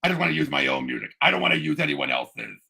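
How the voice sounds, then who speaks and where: A man's voice: mid-range voice, big pitch swings, quick pace, vocal fry, sharp tone, husky. A man talking about movies as a podcast panelist, emphatic and eagerly repeating himself. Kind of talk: casual movie discussion.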